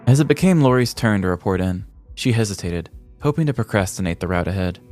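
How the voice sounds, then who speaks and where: A man's voice: fairly low pitch, animated, fast talker, a little mellow. An American man in his thirties reading scripted comedic story narration as a podcast host. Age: 30s. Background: faint music.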